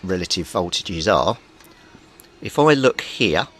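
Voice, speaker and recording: Man, lowish pitch, methodical explaining, informal repair demo, slightly noisy home recording. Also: sing-song, takes it slowly. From Britain. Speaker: radio repair hobbyist. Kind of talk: informal workbench demonstration. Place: small indoor home workbench.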